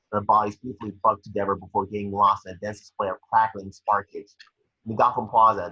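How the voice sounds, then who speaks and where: A man's voice: fairly low pitch, bright, forceful tone, husky, muffled recording. A man narrating screenplay action in an amateur table read, occasionally stumbling over the script. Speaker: scene-description reader.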